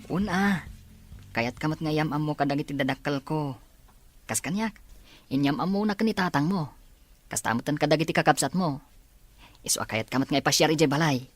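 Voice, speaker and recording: A woman playing a kind, encouraging young male student in a radio drama, speaking gently and reassuringly. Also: low voice, lively intonation, brisk, long pauses. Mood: friendly. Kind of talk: Ilocano radio drama acting. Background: faint background music.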